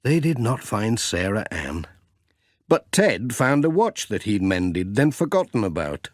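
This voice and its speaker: A man tells a children's story in an expressive, measured way. His mid-pitched voice moves up and down freely at a slightly slow pace, recorded close and dry. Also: dry recording. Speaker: audiobook narrator.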